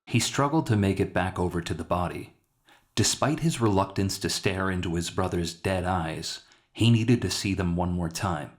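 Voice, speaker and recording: Deep voiced guy narrating a fiction story, reading from his script. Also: moderate pace. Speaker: author narrating own story.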